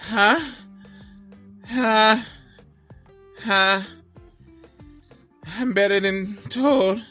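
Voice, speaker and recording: A man voicing a boastful male donkey in a storybook tale, shouting his brags in a braying voice over soft music. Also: high-pitched, very slow, voice pushed up, heavily acted. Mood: breathless bray. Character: boastful male donkey racer, proud then frantic.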